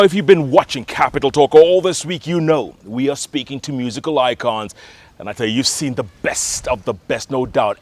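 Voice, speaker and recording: Kenyan male TV host, animated and emphatic, slightly high voice, dry sound. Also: no echo. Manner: showy, emphatic presenter. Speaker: TV talk show host. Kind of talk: broadcast interview hosting.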